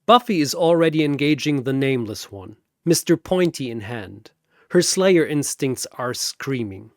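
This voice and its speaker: A man's voice: medium pitch, animated, moderate pace, no room sound, clean studio sound. A man narrating a scripted fantasy battle story in a dramatic storytelling style.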